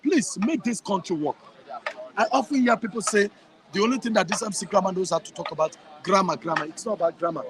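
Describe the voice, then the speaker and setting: A man with a Nigerian accent and a high voice talks with passion and emphasis. He circles back over his phrases to drive a point home. He is speaking outdoors in a town. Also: fast, noisy background. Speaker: guest. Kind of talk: impassioned interview answers.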